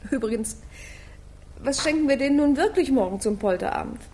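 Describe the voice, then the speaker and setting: An actress playing a dry, long-suffering, ironically teasing wife in a 1950s German radio comedy. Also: female voice, high-pitched, animated, brisk, dark tone. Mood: dry, mocking. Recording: noisy vintage 1950s radio recording.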